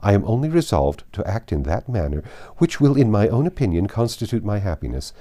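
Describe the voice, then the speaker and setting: Man reading as a firm, mellow-toned, defiant young gentlewoman. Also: on the low side, very animated, fairly quick. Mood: composed, resolute. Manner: firm, formal, articulate. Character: resolute, self-possessed young gentlewoman, defiant. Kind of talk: dramatized audiobook reading.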